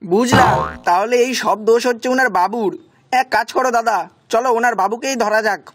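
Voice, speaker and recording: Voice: medium pitch, fairly quick, lightly acted. An actor voicing a blunt, challenging village man in a children's cartoon. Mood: accusing. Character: blunt, accusing village man, the owner's pal.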